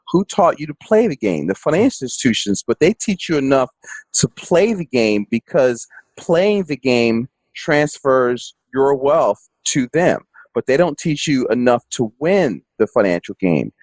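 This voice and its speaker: A man's voice: medium pitch, lively intonation, moderate pace, darkish tone, dry recording, rough recording. A man hosting a finance podcast, talking in a folksy, rambling way with lots of fillers. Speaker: podcast host. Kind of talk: casual interview chat.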